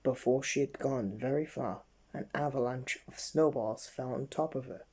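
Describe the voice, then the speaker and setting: Husky, mellow bedtime story reader. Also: medium pitch. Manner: halting reading with slips.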